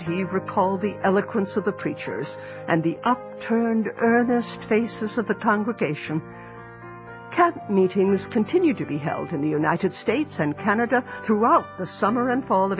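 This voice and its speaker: Male narrator, measured storytelling, music bed, lo-fi. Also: high voice, lively intonation. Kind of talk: scripted narration. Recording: dull lo-fi recording with music.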